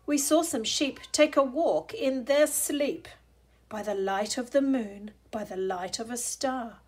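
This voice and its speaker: A woman reading a children's picture book aloud, expressive, deliberate and sing-song. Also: fairly high pitch, breathy edge. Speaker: story reader.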